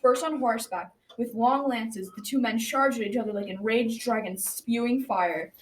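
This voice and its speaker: A woman's voice: on the high side, normal speed, close to an everyday voice. Folksy storytelling grandfather played by a young teenage girl, school radio play, noisy distant recording. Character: folksy old grandfather telling a tale to grandchildren. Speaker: student narrator in a school radio drama. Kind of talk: scripted storytelling. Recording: distant mic, clear background noise.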